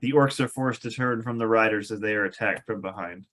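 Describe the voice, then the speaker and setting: A man's voice: fairly low pitch, fairly quick, a bit bright. A man reading screenplay stage directions aloud at a table read, with an amused, sardonic manner. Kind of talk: table read of a film script with wry asides.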